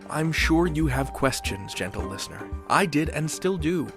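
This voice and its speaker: A man's voice: fairly high pitch, big pitch swings, normal speed, over music. A man hosting a podcast with scripted narration, wry and speaking directly to the listener. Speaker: podcast host.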